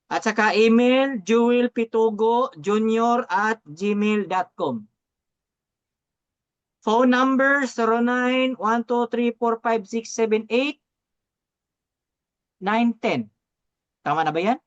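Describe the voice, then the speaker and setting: A man's voice: very high voice, slow, pauses often, clean tone. A man coaching through a live step-by-step tutorial, often checking understanding with short tags, on dull lo-fi audio. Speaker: coach and presenter.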